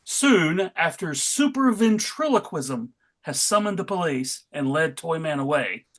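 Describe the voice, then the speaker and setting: A man's voice: fairly high pitch, expressive, a little slow, fairly bright tone. A man hosting and narrating a comic book table read in a dramatic storytelling style. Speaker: narrator and host.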